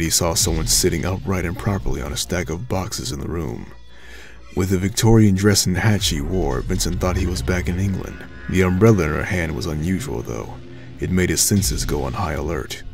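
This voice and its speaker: Deep, gravelly male narrator. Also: moderate pace, darkish tone. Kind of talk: scripted story narration. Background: music.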